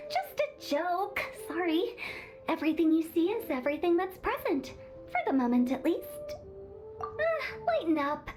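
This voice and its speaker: A woman's voice: very high-pitched, very animated, unhurried, a little mellow, faint music behind, exaggerated voice. A woman voicing a mischievous, upbeat young funeral parlor director in a video game, playful, theatrical and breezy. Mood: teasing.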